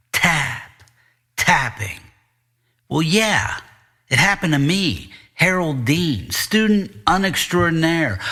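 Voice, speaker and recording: A man's voice: on the high side, fairly bright tone, exaggerated voice. A man narrating a noir story as a confused, self-mocking tenth-grade boy, in a conversational, sardonic way. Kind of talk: first-person noir audiobook narration.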